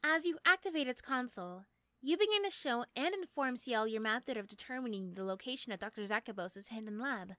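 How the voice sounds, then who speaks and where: A woman's voice: fairly high pitch, expressive, fairly quick, pushed and bright, dry recording, clean studio sound. A woman reading scripted second-person narration for an ASMR roleplay.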